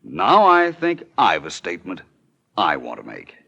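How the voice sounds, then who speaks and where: A low, widely swooping male voice as a commanding 1940s radio detective. He lays out his case with assured, deliberate confidence. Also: huge pitch swings, vocal fry, sharp tone, muffled recording, exaggerated voice. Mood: assured, accusing. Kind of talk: 1940s radio drama acting.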